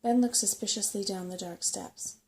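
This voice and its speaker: A soft-spoken woman narrating a bedtime story in an ASMR style. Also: mid-range voice, steady tone, moderate pace.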